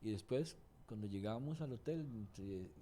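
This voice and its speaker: A man's voice: fairly low pitch, expressive, a little slow, warm tone, slight background noise. An older man from Cuba, a singer-songwriter guest telling rambling anecdotes with many restarts. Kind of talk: anecdotal interview talk.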